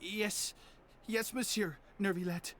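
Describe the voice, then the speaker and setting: A woman's voice: medium pitch, steady tone, slow, a little hiss or hum, close to an everyday voice. A woman voicing a timid, frightened female underling in a video game, hesitant and stammering. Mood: nervous.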